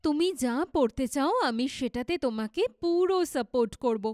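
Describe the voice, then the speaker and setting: Casting: woman as responsible elder sister, high voice, reassuring, cartoon storytelling, dry recording. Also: lively intonation, moderate pace, a little mellow, dry recording. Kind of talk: dramatized children's moral story.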